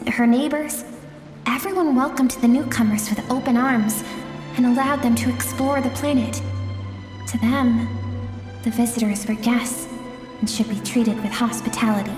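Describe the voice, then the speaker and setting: A woman voicing a mysterious, gentle guide who recounts memories in a video game, soft, deliberate and wistful, with echoing reverb. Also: medium pitch, close to an everyday voice. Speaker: narrator and guide. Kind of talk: dramatic storytelling in a video game. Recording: echoing hall reverb with music.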